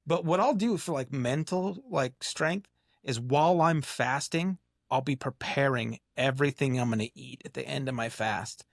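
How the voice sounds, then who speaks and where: Relaxed, agreeable podcast host, dry sound. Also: male voice, mid-range voice, normal speed, creaky, no echo. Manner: relaxed and agreeable, with many small agreeing replies. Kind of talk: casual conversational interview.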